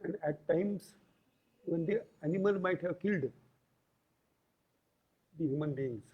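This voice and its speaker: Slow, husky man lecturing. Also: fairly high pitch. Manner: deliberate, pausing between short phrases. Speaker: yoga lecturer. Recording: tinny, phone-like band-limited audio.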